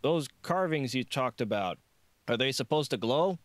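A man's voice: mid-range voice, animated, normal speed, no room sound, pitched above the performer's own voice, lightly acted. A man playing an uneasy, grumbling male foreman. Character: irritated, uneasy male foreman talking over an intercom.

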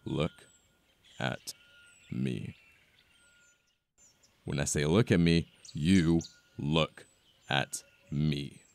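A man acting a possessive yet protective mafia boss in an ASMR romance roleplay, with a British accent, a deep gravelly voice and an intimate manner full of sighs and pauses. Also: a little mellow, heavily acted. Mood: menacing, teasing. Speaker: voice actor. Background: birdsong, outdoor ambience effects.

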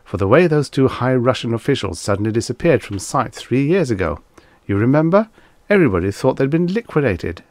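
A talkative hotel manager, acted out by a male narrator, earnest and explanatory in an audiobook comedy. The voice rises and falls in lively swings, recorded dry. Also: mid-range voice, brisk, dry recording, voice pushed up, close to an everyday voice. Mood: knowing.

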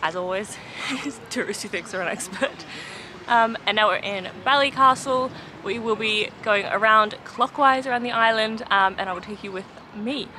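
A woman's voice: mid-range voice, normal speed, sharp tone, slight background noise. A young woman vlogging her trip outdoors, holding the camera at arm's length, chatty and self-mocking with many ums. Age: young adult. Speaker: travel vlogger. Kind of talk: casual travel vlog. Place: outdoors by the coast and in town. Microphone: handheld camera at arm's length.

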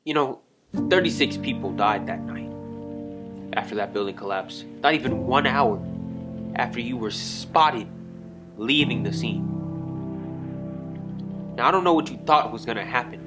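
A man playing a stern interrogator who lays out grim charges in a measured, dramatic, accusing way in a homemade film scene. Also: medium pitch, loud music behind the voice, close to an everyday voice.

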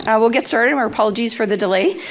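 A woman's voice: on the high side, fast talker. Chatty woman emceeing with many ums. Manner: casual, hesitant, laughing, many ums. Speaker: regional director, emcee. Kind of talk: event welcome. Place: conference hall.

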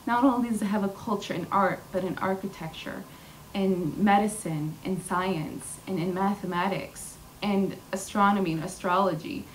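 A woman's voice: mid-range voice, moderate pace, slight background noise. Young woman speaking at a podium. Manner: earnest, many fillers and restarts. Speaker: featured artist speaker. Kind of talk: personal talk at a podium. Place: large indoor hall with glass wall. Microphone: podium mic. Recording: distant room mic, faint hall ambience.

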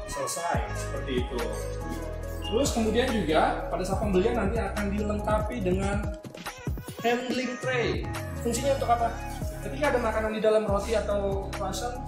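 Chatty young man demoing in an echoey room. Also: sits high. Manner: chatty, animated, gestures while explaining. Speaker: product reviewer. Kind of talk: casual product demo. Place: small indoor kitchen showroom. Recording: echoey room with loud music.

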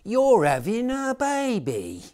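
A man voicing a self-conscious small boy playing the angel in a nativity play, speaking in a flat, deadpan, halting way. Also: very high voice, voice pushed up, heavily acted.

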